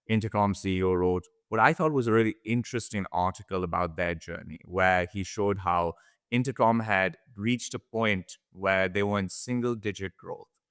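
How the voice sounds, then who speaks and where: A man co-hosting a conversational business podcast, explaining in a measured way and posing questions. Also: low voice, a bit bright, clean tone, dry recording, clean studio sound. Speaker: podcast co-host.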